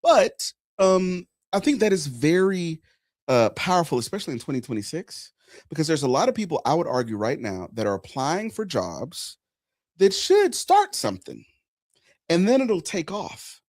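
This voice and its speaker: Host, man; casual and emphatic, lively pitch, dry room. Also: normal speed, no room sound. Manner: animated storytelling, emphatic asides. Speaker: podcast host. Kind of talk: casual live chat.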